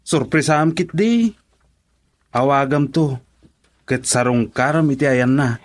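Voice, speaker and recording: An actor with an Ilocano accent playing a friend who gives steady, persuasive advice in a radio drama. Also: male voice, mid-range voice, a little slow, no room sound, lightly acted. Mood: earnest. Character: friend giving advice, earnest man.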